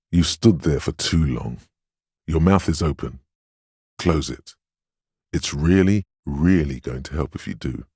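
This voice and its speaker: Super deep smooth stern inner voice of willpower, video game narration, measured and commanding, close dry sound. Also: male voice, darkish tone, no room sound, heavily acted. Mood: earnest counsel. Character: inner voice of willpower, stern, steadfast, protective counsellor. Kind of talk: dramatic second-person game narration.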